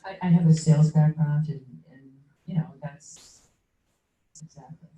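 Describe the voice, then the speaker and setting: Dark-voiced woman, halting, echoey room. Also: low voice, fairly even intonation, a little hiss or hum. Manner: halting, many restarts. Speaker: meeting participant with a sales background. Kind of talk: comment in a group discussion. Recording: echoey room, far from mic.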